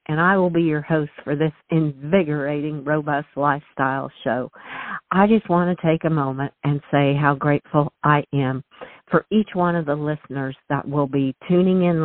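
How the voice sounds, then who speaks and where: A woman's voice: low-pitched, steady tone, normal speed, no echo. A woman hosting a radio show, giving listeners and her guest a gracious, effusive welcome. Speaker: host. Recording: tinny phone line, dry.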